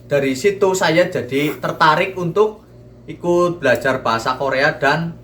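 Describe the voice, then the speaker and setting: A young man from Cilacap with a Banyumasan ngapak accent, hosting a casual group Q&A and chatting in a relaxed way. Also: fairly high pitch, measured pace, a little hiss or hum. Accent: Banyumasan Javanese (ngapak). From Cilacap, Central Java. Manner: relaxed, chatty, mixes dialect into Indonesian. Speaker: vlogger and host.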